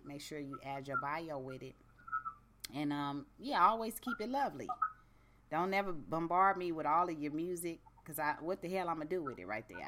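A woman hosting a radio show in blunt, profane, laid-back slang, with a deep voice. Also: a bit bright. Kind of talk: casual radio chat.

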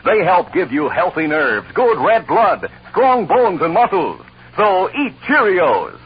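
Dramatic old-time radio announcer, animated man. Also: high-pitched, slow pace. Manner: dramatic, crisply emphatic. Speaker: announcer and narrator. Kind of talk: 1950s radio scripted narration and announcing. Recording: dull old radio recording.

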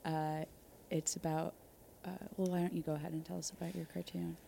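A woman co-hosting a comedic mock interview, asking questions in a dry, deadpan way. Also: deep voice, fairly quick, vocal fry, a little hiss or hum. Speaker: co-host.

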